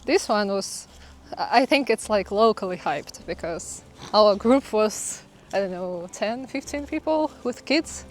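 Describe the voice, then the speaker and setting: A woman's voice: medium pitch, expressive, measured pace. A woman vlogger giving travel narration and casual commentary on a sightseeing visit.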